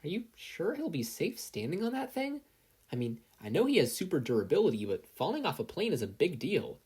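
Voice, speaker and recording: Reader putting on a fussy, high-pitched mother. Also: very animated, fairly quick, warm tone, breathy edge. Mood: worried. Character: fussy, worried, doting mother of a teenage boy.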